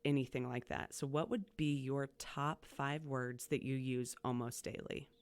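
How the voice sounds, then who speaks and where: A woman's voice: a bass voice, fairly even intonation, unhurried. A woman hosting a casual coaching podcast, chatty and upbeat as she asks her guest questions. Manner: chatty and upbeat, many fillers and restarts. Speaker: podcast host, community college coach. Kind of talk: casual interview conversation.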